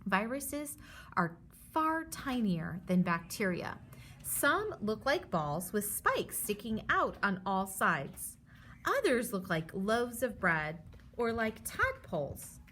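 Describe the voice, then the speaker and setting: A woman's voice: mid-range voice, very animated, slow, sharp tone, a little hiss or hum. A woman teacher reading a children's book aloud, stopping to explain things simply and reassure her young listeners.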